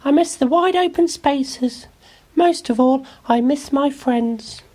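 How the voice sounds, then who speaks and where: A man voicing a lonely, gentle, kind-hearted young boy in a children's storybook. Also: very high-pitched, takes it slowly, voice pushed up. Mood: wistful.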